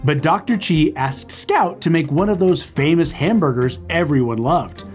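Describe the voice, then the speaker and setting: A man co-hosting a children's read-aloud, narrating in a playful, expressive way. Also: on the high side, normal speed, faint music behind.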